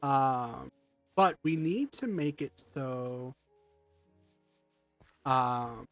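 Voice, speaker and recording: A man's voice: medium pitch, extremely slow, a bit bright, no room sound, faint music behind. A man streaming a block-building game, congested from allergies, thinking aloud and rambling as he builds. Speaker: livestream gamer. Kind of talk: casual gameplay commentary.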